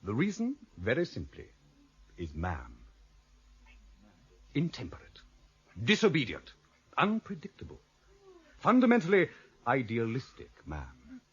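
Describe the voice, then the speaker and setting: A man's voice: medium pitch, wildly swooping pitch, unhurried, pauses often, husky, heavily acted. An actor playing an exasperated, sardonic male university lecturer in a radio drama, theatrical and emphatic. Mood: impassioned, weary. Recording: dull, muffled old radio drama recording.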